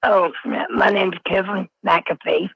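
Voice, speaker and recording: A man's voice: high voice, flat delivery, measured pace, vocal fry, pushed and bright, slightly rough, no room sound. A man calling into a live stream through a voice changer, stammering and repeating himself. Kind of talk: unscripted phone-in. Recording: dull lo-fi call, radio-like.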